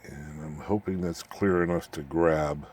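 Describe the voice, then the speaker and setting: A man's voice: extremely low pitch, steady tone, a little slow, a little mellow. A man presenting an informal hands-on electronics demonstration in a folksy, rambling, plain-spoken way. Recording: clear background noise, room ambience.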